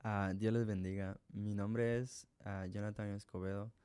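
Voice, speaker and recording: A young man from Guatemala with a Guatemalan Spanish accent, a guest in a radio studio telling his life story in a halting way, with restarts and small laughs. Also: lowish voice, level tone, dark tone, clear, resonant tone. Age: young adult. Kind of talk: hesitant personal testimony.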